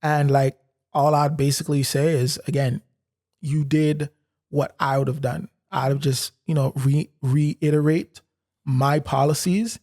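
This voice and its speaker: A laid-back Canadian man co-hosting a podcast, with a voice pitched a little high for a man. He talks in casual slang and prompts his partner. The sound is close-miked and dry, in a quiet room. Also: fairly even intonation, a little slow, no room sound. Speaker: podcast co-host. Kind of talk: casual conversation.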